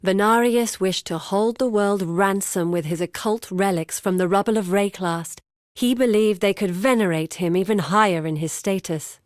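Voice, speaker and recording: An actress voicing an earnest, guarded woman explorer in a video game, speaking in a measured, grave and deliberate way. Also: medium pitch, no room sound, studio quality, close to an everyday voice. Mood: confessional, earnest. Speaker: video game character voice actor. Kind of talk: scripted game character dialogue.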